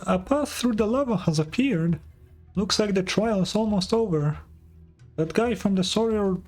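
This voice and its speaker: A man voicing a small, excitable, childlike female fairy companion from a video game, in a chirpy manner. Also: high-pitched, voice pushed up, rough recording. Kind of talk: video game dialogue read aloud.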